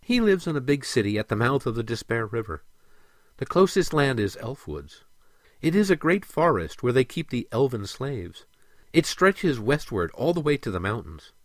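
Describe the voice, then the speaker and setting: A man voicing a gentle, earnest female mermaid in a storybook, with soft, stately phrasing. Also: mid-range voice, big pitch swings, normal speed, no room sound, natural voice. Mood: helpful, informative.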